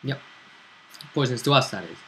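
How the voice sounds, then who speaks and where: A man recapping a tabletop role-play session as game master, casually, in a halting, playful way. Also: medium pitch, expressive, sharp tone, low-quality recording.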